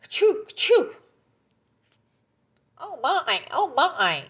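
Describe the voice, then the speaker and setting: A woman voicing a scolding little gopher in a children's story, exclaiming loudly. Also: high voice, big pitch swings, extremely slow, pitched above the performer's own voice, full character voice. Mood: startled. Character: scolding gopher peering from its dusty hole.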